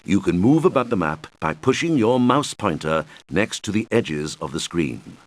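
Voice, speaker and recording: A man's voice: low voice, big pitch swings, normal speed. A man voicing a helpful video game guide who patiently explains things to young players in scripted instructional lines. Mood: patient, instructive. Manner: patient, clearly explanatory, addressing children. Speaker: game tutorial guide character.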